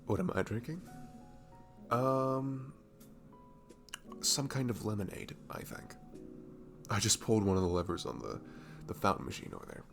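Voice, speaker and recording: A man playing a cute, comforting barista in a soft ASMR roleplay, talking in a gentle, rambling, hesitant way. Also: on the low side, normal speed, long pauses, soft background music. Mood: casual, playful. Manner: gentle, rambling, hesitant, breathy chuckles and sighs. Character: cute, sweet, comforting young male barista chatting.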